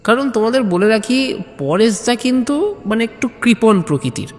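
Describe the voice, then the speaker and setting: A man's voice: very high voice, sing-song, moderate pace, big-room sound, soft background music. A man narrating a comic story conversationally, in the first person.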